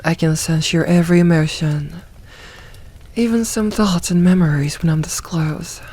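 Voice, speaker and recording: A woman voicing a possessive, teasing succubus demoness in a soft ASMR roleplay, coaxing and soothing, with some breathy moments. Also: lowish voice, moderate pace, close to an everyday voice. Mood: candid, possessive.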